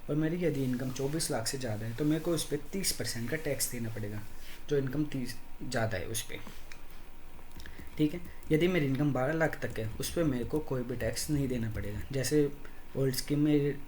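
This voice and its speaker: A man's voice: mid-range voice, moderate pace, a little mellow, a little hiss or hum. A man with an Indian accent, hosting his own channel, walks viewers through tax slab rates step by step, mixing in English terms and his own income examples. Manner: explains step by step with personal examples; code-switches with many English terms. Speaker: tax explainer, channel host. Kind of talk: screen-walkthrough tax lesson.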